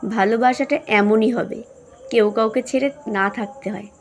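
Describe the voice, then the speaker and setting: A woman voicing a patient middle-aged mother in an audio story, soothing and gently chiding. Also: fairly high pitch, moderate pace, slight background noise, natural voice. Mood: chiding, advising. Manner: soothing, chiding, homespun advice.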